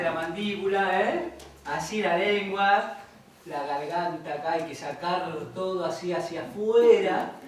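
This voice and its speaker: An actor playing a male radio show director who coaxes a studio audience with loud calls, recorded far off in a theatre. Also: sits high. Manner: coaxing, showman-like, calls out commands loudly. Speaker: stage actor. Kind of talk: live stage performance. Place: theatre stage. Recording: echoey theatre from far off, loud crowd and noise.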